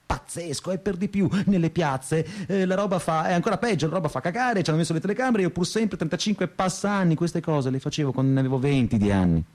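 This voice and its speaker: A man's voice: a little high, lively intonation, fast, hardly any pauses, darkish tone, natural voice. An actor playing a sardonic, restless stoner man. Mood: agitated. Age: 30s. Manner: sarcastic, slangy, declamatory, swinging between mutter and outburst. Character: restless, sardonic 35-year-old provincial student pot-smoker telling his story. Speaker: stage actor, monologue performer. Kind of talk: theatrical radio monologue.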